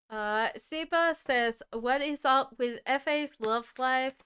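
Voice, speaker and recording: A woman with an Australian accent blended with British tones gives a chatty live-stream tarot reading. Her voice is very smooth and a little high, and she takes her time. She reads viewer comments aloud and laughs easily. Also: a bit bright. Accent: Australian mixed with British. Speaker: tarot reader. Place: home in the UK.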